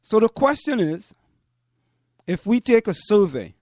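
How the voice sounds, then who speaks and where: A man from Trinidad and Tobago, speaking as a show host in deliberate commentary and repeating phrases for emphasis. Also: high voice, very animated, a little mellow, no room sound, studio quality. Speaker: host of a community parents' talk show. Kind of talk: spoken commentary to listeners.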